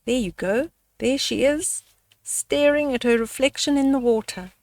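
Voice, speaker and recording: A woman reading a bedtime story aloud to children, speaking deliberately and adding little asides for young listeners. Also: sits high, a little mellow, dry recording, recorded from a distance.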